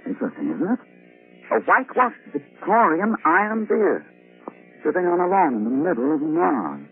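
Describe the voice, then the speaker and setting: An actor playing a dry, uneasy, wondering expedition scientist in an old-time radio drama. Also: male voice, a little high, huge pitch swings, normal speed, breathy edge, heavily acted. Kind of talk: 1950s radio drama acting. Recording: tinny old radio recording, music.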